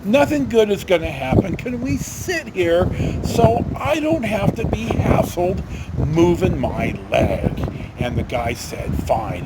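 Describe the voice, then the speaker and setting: American male, middle-aged, high voice with big swings, folksy salty storyteller, noticeable background noise. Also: darkish tone, poor audio. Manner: folksy, blunt, salty-tongued. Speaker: storyteller, vlog host. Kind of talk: rambling casual anecdotal storytelling.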